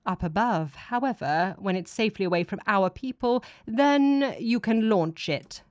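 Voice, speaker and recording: A cold, commanding god speaks in a stern, declaiming way, calmly ruthless and sure of itself, as a woman reads the part. The delivery rises and falls with lively pitch. The sound is dry and close, with no room around it. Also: unhurried, dry recording, lightly acted. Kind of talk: audiobook character reading.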